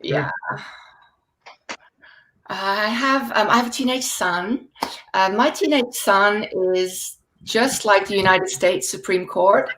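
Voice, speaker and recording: A woman in her forties, raised speaking Dutch in the Netherlands, doing a stand-up set over a video call with dry deadpan delivery and laughing asides. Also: medium pitch, takes it slowly, pauses often, a little hiss or hum, poor audio. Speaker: stand-up comedian.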